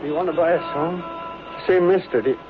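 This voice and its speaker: An actor playing a naive, hopeful young small-town songwriter in an old radio drama, earnest and stammering. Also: male voice, on the high side, fast talker, narrow, tinny audio, music in the background, close to an everyday voice. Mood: timid, pleading. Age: young adult. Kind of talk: 1930s-40s radio drama acting. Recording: noisy, band-limited old radio recording.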